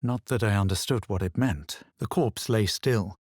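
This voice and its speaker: A man voicing a self-assured adventurer hero in a fantasy video game, with a husky voice and a measured, slightly superior, dramatic delivery. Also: lowish voice, darkish tone, dry recording, clean studio sound, natural voice. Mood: wary, observant. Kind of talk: video game voice acting, barks and past-tense narration.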